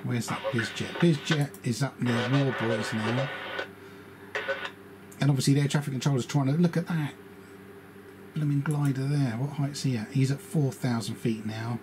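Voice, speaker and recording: A man's voice: mid-range voice, steady tone, moderate pace. A man giving casual, grumbling and opinionated running commentary as an aviation hobbyist. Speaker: aviation hobbyist narrating radio scanner and flight tracker. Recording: radio and ambient noise behind voice.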